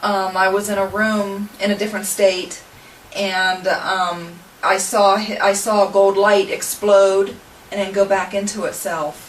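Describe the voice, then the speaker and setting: A woman in her forties with an American accent, giving a casual, somewhat halting testimonial to camera. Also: medium pitch, little pitch movement. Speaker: paranormal group member. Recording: echoey room, distant mic, clear noise.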